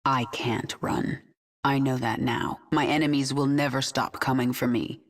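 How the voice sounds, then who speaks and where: A woman's voice: rumbling low, steady tone, a little slow. An actress playing a ruthless alien swarm queen who speaks in a cold, controlled, commanding way.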